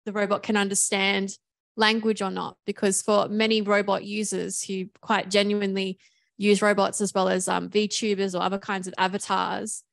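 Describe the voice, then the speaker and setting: Brief: lively gravelly woman in the audience, curious questioner. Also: medium pitch, normal speed. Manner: animated, laughs often. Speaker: audience member asking a question. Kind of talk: casual question at a panel.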